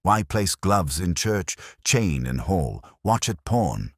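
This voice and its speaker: A man voicing a mild, shrewd police inspector in a detective story, speaking gently, deliberately and formally. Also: low voice, big pitch swings, dry recording, clean studio sound, heavily acted. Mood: cautioning, probing.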